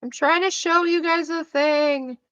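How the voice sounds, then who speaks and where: A Canadian woman chatting loosely on a livestream, rambling with lots of swearing. Her voice is bright and forceful. The sound is dull and lo-fi in a dry, dead space. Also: high-pitched, measured pace, no room sound. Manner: rambling and profane, loose with frequent fillers. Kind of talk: casual livestream chat with viewers.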